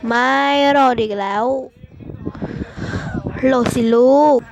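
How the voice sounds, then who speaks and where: A woman's voice: a little high, slow pace, dull audio. A woman hosting a casual, amateur comic read-along, chatting informally to her viewers. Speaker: channel host reading a comic. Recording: clearly noisy home recording with clicks.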